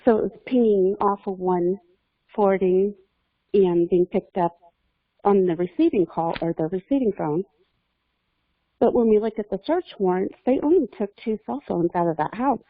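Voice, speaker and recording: A woman's voice: mid-range voice, moderate pace, no echo. A woman speaking casually as a guest in an investigative discussion. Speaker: podcast guest. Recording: thin, dry phone-like call audio.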